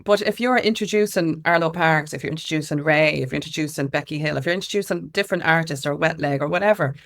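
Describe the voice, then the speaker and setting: A woman's voice: low voice, fast talker, a bit bright. Irish woman talking animatedly as guest. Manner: informal, emphatic, acts out quotes from others. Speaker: interview guest, music consultant and campaigner. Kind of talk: conversational interview with animated anecdotes.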